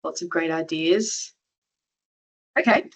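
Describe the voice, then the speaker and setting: A woman's voice: deep voice, slow pace, husky. A woman moderating an online webinar over a video call, upbeat as she reads out audience answers and questions.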